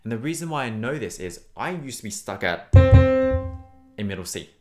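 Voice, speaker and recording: Low-voiced man teaching singing, encouraging. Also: big pitch swings, normal speed, loud music behind the voice. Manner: encouraging, emphatic, reassuring. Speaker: voice teacher and podcast host. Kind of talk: conversational singing lesson to listeners.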